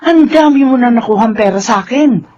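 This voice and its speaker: A woman's voice: a little high, expressive, fairly quick, muffled recording, a little hiss or hum, close to an everyday voice. A woman playing a stern middle-aged mother who nags and scolds in a radio drama. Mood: exasperated, scolding. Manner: scolding, suspicious, sharp. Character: stern, nagging mother scolding her grown daughter.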